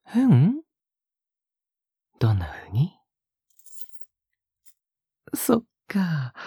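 An actor playing a doting, possessive young man in an intimate audio drama, teasing and cooing in a breathy voice that often drops into whispers. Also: medium pitch, a little mellow, no room sound, clean studio sound, exaggerated voice.

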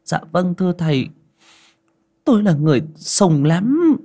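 A man's voice: high voice, very animated, a little slow, mellow, voice pushed up, exaggerated voice. A man doing a fawning chatty old lady. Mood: eager. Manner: fawning, talkative. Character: chatty devout older woman, eager hostess.

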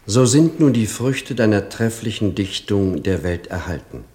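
A man's voice: lowish voice, expressive, measured pace, dark tone. A male actor in a German radio play voicing a dutiful stepbrother reading his letter aloud in a stilted, ceremonious manner. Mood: formal devotion.

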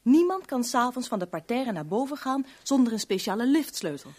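An actress in a Dutch radio drama playing a guarded, sharp-tongued young woman with a curt, irritable manner. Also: a little high, very animated, brisk. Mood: urgent, guarded. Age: young adult.

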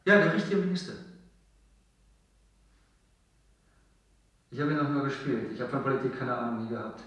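A man's voice: mid-range voice, animated, racing, a bit bright, lightly acted. A man in a German radio drama playing a desperate, embittered actor under interrogation, speaking insistently and with passion. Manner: impassioned, insistent, repeating himself. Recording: very echoey room, distant from mic.

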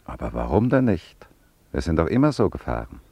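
A quiet consoling friend, rough breathy bass, radio play. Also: male voice, big pitch swings, brisk, no room sound, dull audio, exaggerated voice. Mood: puzzled. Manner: gentle, consoling, hushed. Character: Pierre, loyal French friend of the family. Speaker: family friend.

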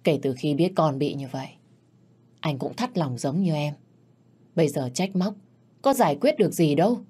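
Woman reading a stern gang boss, low and husky, clipped and grave. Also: expressive, moderate pace, dark tone. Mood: grim. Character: stern middle-aged gang boss husband.

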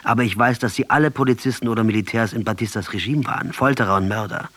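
Husky, proud revolutionary commander. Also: male voice, medium pitch, fairly bright tone, no room sound. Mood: firm, unrepentant. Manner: sardonic, deliberate, declamatory. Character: proud, hardened male revolutionary commander, unrepentant and sardonic. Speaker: actor in a radio play. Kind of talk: German radio drama dialogue.

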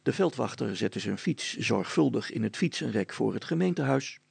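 A man narrating a scripted children's story as a storyteller, in a deliberate, old-fashioned way. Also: mid-range voice, expressive, gravelly, slightly rough, no echo.

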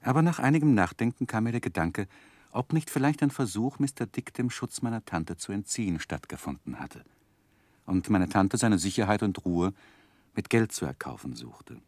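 A man acting as a reflective narrator recalling his boyhood in a radio play, with measured, expressive literary storytelling. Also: lowish voice, no echo. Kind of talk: scripted narration, 1960s German radio play.

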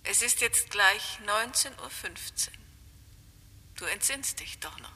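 A whispering ghostly caller. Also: female voice, medium pitch, expressive, full character voice. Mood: coaxing, eerie. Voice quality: breathy whisper. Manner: soft, coaxing, unhurried. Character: eerie whispering woman, dead first wife calling back. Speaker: mysterious caller on the phone. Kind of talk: radio play acting.

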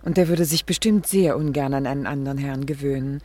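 Genteel middle-aged wife, dark mellow voice, refined and formal, anxious politeness, radio drama. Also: female voice, very deep voice, a little fast. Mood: concerned, persuasive. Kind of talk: German radio play acting.

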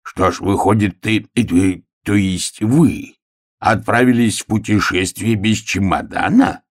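Pompous husky little bear cub, played by a man. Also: fairly low pitch, no room sound, clean studio sound. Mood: solemn, ceremonious. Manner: pompous, deliberate, solemn, gruff. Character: self-important, stubborn little bear cub playing a stern official.

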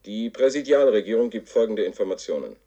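An actor playing the formal, declamatory announcer of a dystopian regime's propaganda broadcast in a radio play. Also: male voice, lowish voice, lively intonation, brisk, warm tone, slightly rough, dull audio. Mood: stern, official. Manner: formal, declamatory, slogan-repeating. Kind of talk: scripted public proclamation.

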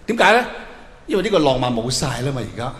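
A man's voice: medium pitch, sing-song, fast, a bit bright. A man with a Hong Kong Cantonese accent doing a nostalgic stand-up comedy monologue, colloquial, teasing the audience with rhetorical questions. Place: on stage in a large hall. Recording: echoey hall, faint noise, live show.

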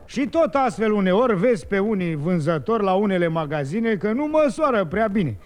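A wily Turkish trickster from a vintage radio comedy. His voice sits high and he spins rhymed tales in an excitable sing-song, full of exclamations. Faint music is in the background. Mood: animated, mock indignant. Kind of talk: 1950s radio comedy acting, rhymed storytelling.

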